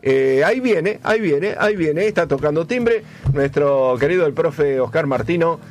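A man's voice: fairly high pitch, animated, moderate pace. A man with an Argentine accent hosting a radio show in casual chat, rambling with lots of fillers and repeated words. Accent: Argentine Spanish. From Buenos Aires, Argentina. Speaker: radio host.

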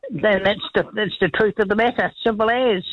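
A woman calling in to a radio show, chatting casually with a croaky voice and many repeated restarts. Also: medium pitch, fairly even intonation, brisk. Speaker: caller. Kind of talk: casual radio call-in chat. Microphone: telephone. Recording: dull lo-fi phone line.

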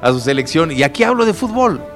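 A man's voice: a little high, big pitch swings, fast. Emphatic Mexican man over prominent music. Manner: emphatic, repeats himself. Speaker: sports talk show host. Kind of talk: lively unscripted sports panel talk.

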